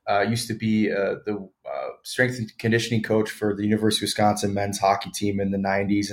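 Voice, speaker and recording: A man in his thirties, a former pro hockey player, explaining things casually as a podcast guest and hedging his claims. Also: lowish voice. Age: 30s. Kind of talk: casual interview chat.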